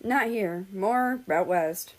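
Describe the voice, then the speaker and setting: A woman's voice: mid-range voice, slow pace, close to an everyday voice. A woman voicing an easygoing, tired and teasing male driver on a road trip in a story reading. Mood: reassuring. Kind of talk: character dialogue in a story reading.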